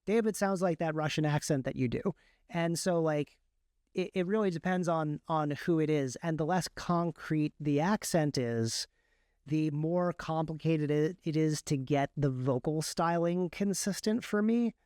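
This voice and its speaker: A man's voice: a little high, measured pace, a little mellow, clean tone, no room sound, studio quality. A man speaking casually as an interview guest, explaining his craft and often repeating words mid-sentence. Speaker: guest, author and audiobook narrator.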